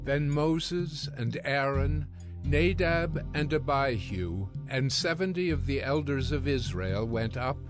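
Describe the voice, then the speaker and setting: Solemn male scripture narrator over music. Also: medium pitch. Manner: solemn, measured storytelling. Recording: prominent music bed behind narration.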